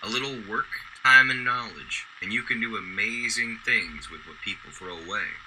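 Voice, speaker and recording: An actor playing a gentle, earnest older sanitation worker who restores old toys, in a radio drama. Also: male voice, fairly low pitch, moderate pace, slight background noise, rough recording. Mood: earnest, encouraging.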